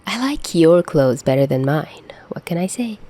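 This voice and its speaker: A woman's voice: low voice, sing-song, moderate pace, dark tone. A woman playing a teasing, nonchalant young man in a story, with a playfully dramatic manner.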